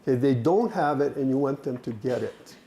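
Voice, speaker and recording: A man's voice: mid-range voice, lively intonation, a little fast, warm tone. A man leading a workshop session, talking animatedly, laughing and asking the group questions. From Hawaii. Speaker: workshop presenter. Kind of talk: interactive workshop talk.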